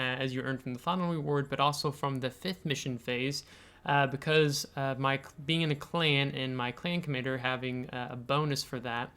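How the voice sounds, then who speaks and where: An American man hosting a video game tutorial, explaining things step by step in a halting way with frequent filler pauses. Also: medium pitch, fairly bright tone, clear, resonant tone. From United States. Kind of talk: explanatory game tutorial, semi-scripted screen walkthrough.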